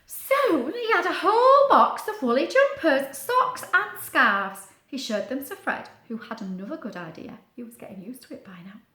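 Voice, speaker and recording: Female storybook reader, very animated and bright, slightly high voice, chatty asides to young listeners. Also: moderate pace, few pauses, roomy sound. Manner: expressive, explains words and asks the listener questions. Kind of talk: children's read-aloud with chatty asides.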